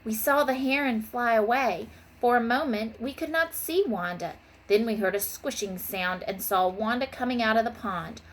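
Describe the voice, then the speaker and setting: A woman teacher reading a children's storybook aloud in an expressive way. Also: fairly high pitch, moderate pace, few pauses, a little hiss or hum, low-quality recording. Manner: expressive, voices the characters' dialogue. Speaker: elementary school teacher.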